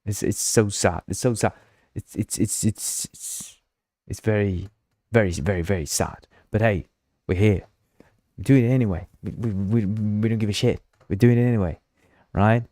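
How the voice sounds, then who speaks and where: A man's voice: on the low side, moderate pace, dark tone, dry recording, clean studio sound. A man with a Uruguayan Spanish accent, a native Spanish speaker, hosting a casual live coding stream, rambling and repeating himself as he reads the chat aloud. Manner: drinking while streaming. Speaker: livestream host.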